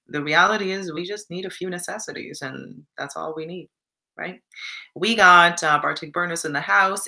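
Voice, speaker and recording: A woman's voice: sits low, bright, forceful tone. A young Canadian woman in casual live stream chat, reflective and encouraging, reading viewer comments aloud.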